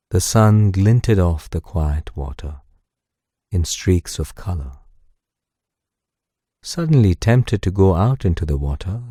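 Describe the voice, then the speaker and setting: A man narrating a guided sleep meditation and bedtime story in a soothing, gentle, unhurried way, close on the microphone. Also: deep voice, dry recording. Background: soft music.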